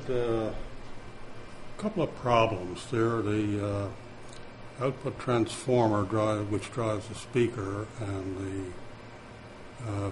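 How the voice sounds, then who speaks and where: A man who restores radios, talking casually about his work and pausing often with fillers. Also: fairly low pitch, background noise. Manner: relaxed, hesitant with frequent fillers. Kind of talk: casual spoken account.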